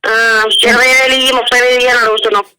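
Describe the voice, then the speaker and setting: A woman's voice: on the high side, little pitch movement, rapid-fire, bright, forceful tone, clean tone, rough recording. A middle-aged woman arguing casually on the phone in a scolding, indignant manner. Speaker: mother being prank-called.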